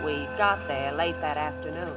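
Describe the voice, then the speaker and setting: A woman's voice: sits low, normal speed, lo-fi sound, over music, close to an everyday voice. A woman playing an earnest, plain-spoken young frontier woman in a 1950s Western radio drama. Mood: troubled narration. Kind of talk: 1950s radio drama acting and first-person narration. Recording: 1950s radio broadcast recording.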